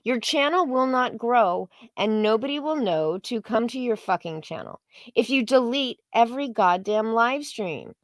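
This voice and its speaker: A woman's voice: on the high side, animated, normal speed, no echo, clean studio sound. A woman co-hosting a livestream with casual commentary, blunt and sarcastic, with frequent swearing. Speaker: co-host of a livestream.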